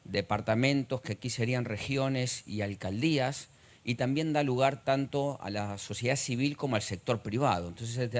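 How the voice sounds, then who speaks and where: A middle-aged man with an Argentine accent, giving a formal conference talk, measured and filled with pauses and hesitations. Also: medium pitch. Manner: measured, with frequent "eh" and "digamos" fillers. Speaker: conference speaker.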